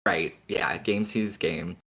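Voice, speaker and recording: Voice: low voice, slow, a bit bright, breathy edge. A podcast co-host chatting casually in a fan discussion.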